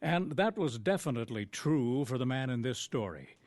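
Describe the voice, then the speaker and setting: A man's voice: medium pitch, lively intonation, moderate pace, darkish tone, no echo. An American man giving scripted, formal narration as the announcer of a radio drama. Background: music.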